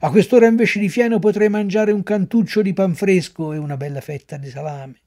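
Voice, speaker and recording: A man's voice: high-pitched, fairly quick, dark tone, voice pushed up. A man voicing a boy turned into a donkey, plaintive and sorry for himself, braying. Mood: resigned.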